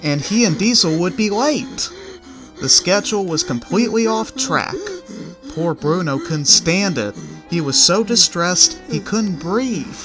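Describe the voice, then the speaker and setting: Male storyteller over clear music. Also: high-pitched, expressive, measured pace, rough recording. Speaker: story narrator. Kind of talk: scripted children's story narration. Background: background music.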